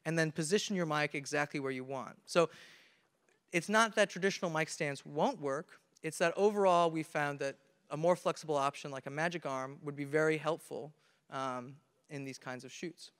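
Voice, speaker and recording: A man's voice: on the high side, normal speed, a bit bright. Young man presenting in a big, echoey hall. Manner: earnest, explains step by step with many restarts. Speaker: conference presenter. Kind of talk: technical talk on stage. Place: large conference hall with audience. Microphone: handheld mic. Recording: reverberant hall from a camera.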